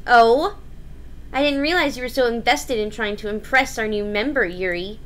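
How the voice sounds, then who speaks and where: A woman voicing a bratty, prickly high-school girl in a visual novel, blunt and huffy in manner. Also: moderate pace, lightly acted. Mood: confrontational, angry. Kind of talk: visual novel voice-over read aloud.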